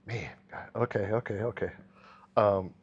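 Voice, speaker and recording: Very deep-voiced host, lo-fi sound. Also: male voice, fairly even intonation, a little slow, breathy edge. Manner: short reactive interjections, easygoing. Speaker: podcast host. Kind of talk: casual interview. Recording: dull lo-fi sound with faint noise.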